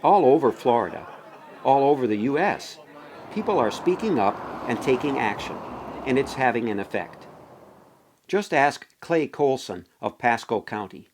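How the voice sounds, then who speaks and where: A man's voice: mid-range voice, lively intonation, moderate pace, darkish tone, some chatter behind. A man reading scripted documentary narration as the narrator.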